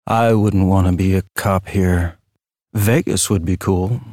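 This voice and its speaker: A man's voice: low voice, fairly even intonation, warm tone, no echo. An actor plays a male walking tour guide in an audio drama, a flippant showman with a taste for the macabre. He takes his time with each phrase in a wry, theatrical way. The sound is dry, with no room around it. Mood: wry, eerie. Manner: theatrical, wry, drawn-out storytelling.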